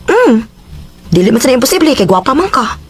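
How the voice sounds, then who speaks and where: An actress with a Cebuano accent playing a soft-spoken young woman, a close friend, in a radio drama. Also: high voice, sing-song, brisk. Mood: teasing, curious.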